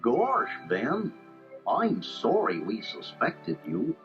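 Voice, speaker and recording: A man's voice: mid-range voice, wildly swooping pitch, a bit bright, heavily acted. A man voicing a dim, good-natured, bumbling cartoon dog with a hollow, folksy drawl in a children's audio storybook. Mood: sheepish. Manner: slow-witted, folksy, bumbling. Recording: dull lo-fi tape, music behind.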